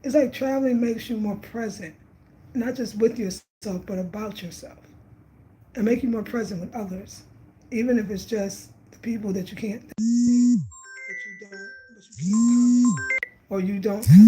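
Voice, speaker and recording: A woman's voice: medium pitch, moderate pace, mellow, faint music behind, poor audio. A woman in her fifties from New York, talking casually and reflectively about travel and spirit, repeating phrases for emphasis. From Brooklyn, New York. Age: 50s. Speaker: travel vlogger.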